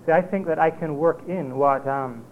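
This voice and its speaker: Jamaican man lecturing over a tinny recording. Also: a little high. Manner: measured, lecturing, with occasional ums. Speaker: conference speaker. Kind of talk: academic paper presentation. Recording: tinny phone-like lo-fi recording with faint hiss.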